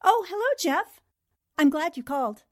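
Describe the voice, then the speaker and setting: A woman's voice: high voice, lively intonation, moderate pace. An actress playing a defiant, wry female newspaper reporter under threat in a 1940s-style radio drama. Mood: guarded.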